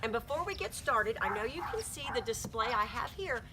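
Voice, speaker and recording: Woman nature guide, middle-aged, upbeat and encouraging, lively pitch, outdoor noise behind. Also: brisk. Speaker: heritage interpreter giving a nature talk. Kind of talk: friendly guided presentation to a small group. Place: outdoors at a ranch.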